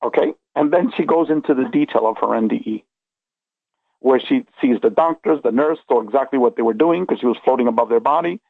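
Phone-band sound, husky male voice, middle-aged, earnest, rambling storyteller, emphatic. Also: medium pitch, fairly quick, no echo. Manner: earnest, emphatic, checks in with okay and you know. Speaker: interview guest recounting personal experiences. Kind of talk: casual, rambling first-person storytelling. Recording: tinny phone-like line.

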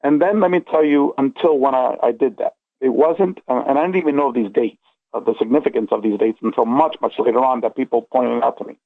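Gravelly man on a phone-like line. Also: mid-range voice, fairly quick, no room sound. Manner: earnest, emphatic, checks in with okay and you know. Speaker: interview guest recounting personal experiences. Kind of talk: casual, rambling first-person storytelling.

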